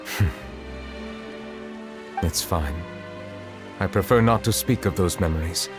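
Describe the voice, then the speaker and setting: A man playing a reserved, solemn chief justice in a video game, speaking in a formal, measured, composed way. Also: low-pitched, over loud music. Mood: guarded, serious. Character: grave, reserved male chief justice with dragon nature. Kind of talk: video game dialogue acting.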